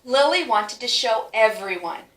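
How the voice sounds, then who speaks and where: A woman reading a children's storybook aloud with expressive, emphatic phrasing for young listeners. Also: a little high, measured pace, roomy sound. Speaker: teacher reading a picture book aloud.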